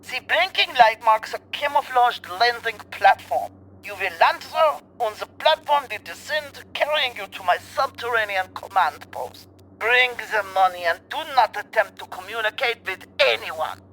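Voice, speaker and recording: A woman's voice: fairly high pitch, lively intonation, normal speed, fairly bright tone, slight background noise, poor audio. Haughty, swooping villainess queen. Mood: menacing, commanding. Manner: imperious, exasperated, theatrical. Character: haughty, short-tempered villainess queen bent on world rule. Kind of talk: comedy radio play acting.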